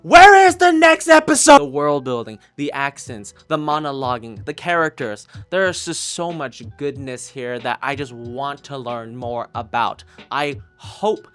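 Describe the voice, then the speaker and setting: A man with a mid-pitched voice talks like a casual YouTube reviewer recommending things he loves. He is emphatic and gushing and swears freely, with music playing under his voice. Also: animated, measured pace, few pauses, fairly bright tone, smooth. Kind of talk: casual enthusiastic review, recommending audio dramas. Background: background music.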